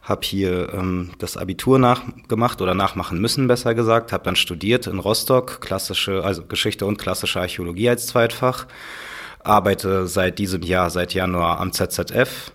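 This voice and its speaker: Deep-voiced male guest, halting and self-correcting. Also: fairly bright tone. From Ukraine. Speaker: doctoral researcher guest. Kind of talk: interview self-introduction.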